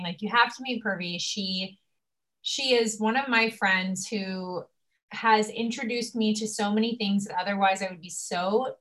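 A young woman at a middling pitch, hosting a relaxed talk. She speaks effusively and emotionally, with lots of fillers between thoughts. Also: low-quality recording. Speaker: host. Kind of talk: casual conversation, interviewing.

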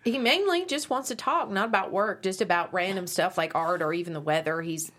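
A woman's voice: fairly low pitch, brisk, a bit bright. A woman reading the part of a tough but evasive middle-aged working mother at a script table read. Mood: awkward, confiding.

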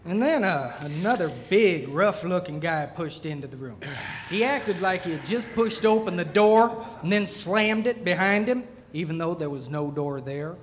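A man's voice: high-pitched, lively intonation, normal speed. A man playing a tavern master who tells a comic fantasy western tale in a wry, folksy way. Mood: storytelling. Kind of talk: narration in comic audio theatre. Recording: noisy, reverberant live theatre hall.

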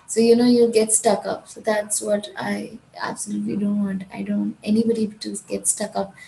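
A woman's voice: mid-range voice, little pitch movement, normal speed, gravelly, warm tone, echoey room, a little hiss or hum, low-quality recording. A woman from India with a Gujarati English accent, teaching an IELTS speaking lesson in a firm, direct way that repeats key points. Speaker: IELTS tutor. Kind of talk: instructional talk.

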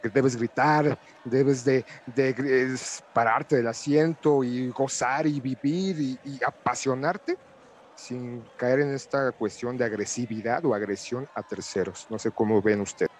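A Mexican man giving his view in a casual panel discussion, haltingly, repeating words as he reasons. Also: medium pitch, slight background noise.

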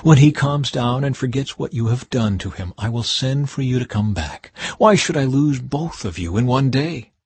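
Man reading a worried mother, urgent, plain husky voice, dry sound. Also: medium pitch, expressive, moderate pace, hardly any pauses, darkish tone, no echo. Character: worried mother urging her son to flee.

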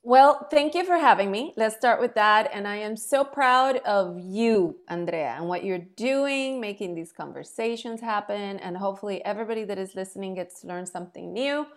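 A woman's voice: medium pitch, normal speed, few pauses. A woman in her forties from Colombia, speaking English, talks as an interview guest. She tells her story frankly and with energy, and pokes a little fun at herself along the way. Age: 40s. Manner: lively, frank, self-deprecating. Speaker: guest, entrepreneur.

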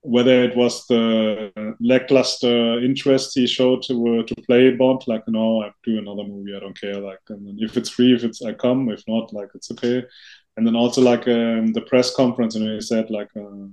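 A man co-hosting a podcast, chatting casually and haltingly with many fillers and restarts. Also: mid-range voice, level tone, darkish tone, low-quality recording. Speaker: podcast co-host. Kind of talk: casual chat.

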